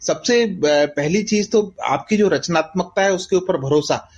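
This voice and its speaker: A middle-aged man with an Indian accent speaks in a high-pitched voice. He gives reflective interview answers as an author, earnest and rhetorical, repeating phrases for emphasis. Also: unhurried, slight background noise. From Bastar, India. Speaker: guest author.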